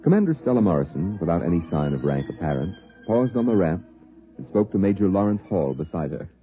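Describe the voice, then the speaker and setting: An actor playing a solemn storyteller who narrates a 1950s science fiction radio drama. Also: male voice, low-pitched, very animated, brisk, phone-quality sound. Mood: solemn, suspenseful. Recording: thin vintage radio recording with music.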